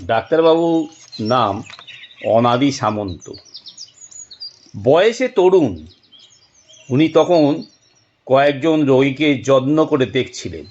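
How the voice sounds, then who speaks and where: A man narrating a detective audio drama in an expressive, dramatic storytelling manner with deliberate pauses. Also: medium pitch, lo-fi sound.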